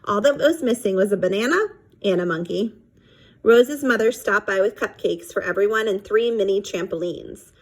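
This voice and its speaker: A woman reading a children's chapter book aloud expressively, pausing to explain phrases to young listeners. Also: mid-range voice, poor audio. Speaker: read-aloud storyteller.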